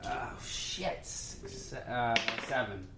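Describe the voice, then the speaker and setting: A man's voice: medium pitch, animated, very slow, very noisy. A man playing a tabletop role-playing game, chatting casually and brashly, with some swearing. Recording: echoey room with music behind. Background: music.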